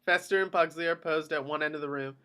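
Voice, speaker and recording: Male narrator, fairly high, bright forceful tone, reading scripted action description. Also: steady tone, quick pace, smooth. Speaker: narrator reading stage directions. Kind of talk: scripted narration for a table read.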